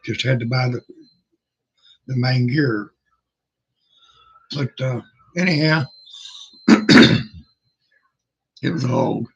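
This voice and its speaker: Hoarse older man, folksy and halting. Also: medium pitch. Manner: halting, folksy, many pauses and fillers. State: rough, hoarse voice. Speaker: livestream host. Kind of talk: casual rambling chat. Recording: dull lo-fi sound.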